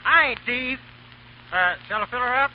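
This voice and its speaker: An actor playing a folksy small-town gas station attendant with a drawl, in a 1930s radio drama. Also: male voice, very high voice, animated, a little slow, noisy background. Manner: drawling rural country talk, chuckling. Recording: dull old radio broadcast recording.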